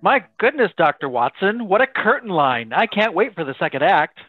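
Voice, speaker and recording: Hearty old-time radio announcer played by a man, highish voice, salesman polish, phone-like sound. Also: big pitch swings, normal speed, soft background music. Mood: hearty, eager. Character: hearty radio announcer pitching coffee and mysteries. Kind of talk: 1930s radio announcer acting. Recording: thin phone-like band.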